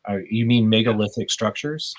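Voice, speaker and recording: Rambling man with a husky voice. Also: fairly low pitch, normal speed. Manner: rambling, many restarts and fillers. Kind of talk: casual podcast discussion.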